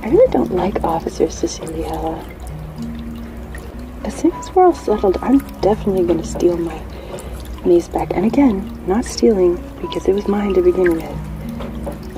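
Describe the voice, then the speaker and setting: A woman playing a playful, sassy young companion to a captive merperson in an ASMR bedtime roleplay, often whispering in a breathy, affectionate way. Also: on the low side, natural voice, poor audio. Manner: snarky, affectionate, hushed, chatty and halting.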